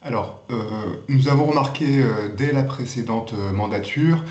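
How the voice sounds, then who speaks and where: A man's voice: medium pitch, measured pace, darkish tone, dull audio. A man explaining methodically and formally as an expert guest in a panel discussion, recorded with roomy sound.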